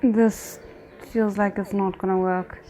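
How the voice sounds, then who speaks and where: A woman's voice: medium pitch, flat delivery, takes it slowly, warm tone, rough recording. A woman giving casual reaction commentary as a host, musing aloud in hesitant fragments.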